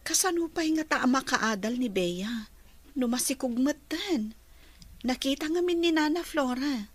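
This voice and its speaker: A woman playing a young Filipino daughter in a radio drama. Her voice is very high, and she sounds sincere and caring. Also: animated, measured pace. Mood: concerned. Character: earnest concerned young woman, dutiful daughter.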